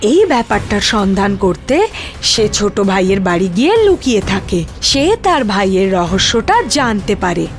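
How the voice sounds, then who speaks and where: A woman's voice: medium pitch, big pitch swings, normal speed, faint music behind. A woman narrating a scripted cartoon fairy tale as its storyteller.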